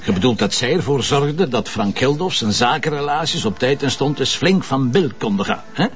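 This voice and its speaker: An actor playing a blunt, mocking police commissioner with a Flemish accent in a crime drama. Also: male voice, medium pitch, lively intonation, moderate pace, soft background music, close to an everyday voice. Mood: sardonic. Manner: mocking, sarcastic. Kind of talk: TV detective drama dialogue. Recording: dull lo-fi broadcast audio.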